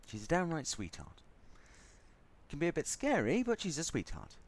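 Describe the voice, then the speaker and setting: A man's voice: on the high side, huge pitch swings, moderate pace, slightly rough, full character voice. A man playing a sardonic, grudgingly fond spaceship crewman in an audio drama, rambling as he goes. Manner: sardonic, rambling, softening into fondness. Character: snarky male spaceship crewman, grudgingly fond, talking to baby.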